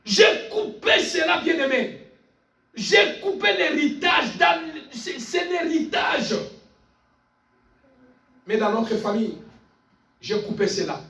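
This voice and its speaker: High-pitched fervent male preacher, echoey. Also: big pitch swings, takes it slowly, vocal fry, pauses often, sharp tone. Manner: emphatic, insistent, repeating phrases; calls on listeners for amens. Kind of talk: fervent sermon. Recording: echoey room, distant mic, faint music.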